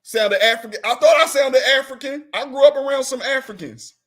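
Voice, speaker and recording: High-voiced man riffing with slang. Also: fairly quick, fairly bright tone. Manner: slangy, rambling and laughing. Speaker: host. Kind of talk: comedic casual chat.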